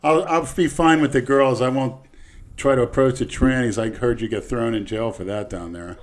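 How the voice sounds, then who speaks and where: A man's voice: mid-range voice, moderate pace. A man hosting a podcast, talking bluntly and crudely in casual chat. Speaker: podcast host.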